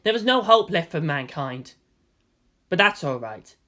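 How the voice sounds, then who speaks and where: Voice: medium pitch, very animated, measured pace, pushed and bright. A narrator reading fan fiction aloud as scripted drama, with a theatrical, solemn delivery. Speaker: story narrator.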